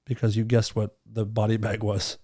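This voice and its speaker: A man's voice: fairly low pitch, moderate pace, slightly rough. A man co-hosting a podcast in casual, crude, joking chat. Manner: joking, crude, lively delivery. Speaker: podcast co-host.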